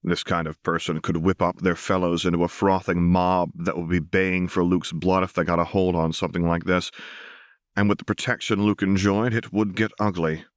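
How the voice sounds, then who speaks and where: A man's voice: sits low, level tone, moderate pace, no room sound, studio quality. A man reading scripted fiction as an audiobook narrator.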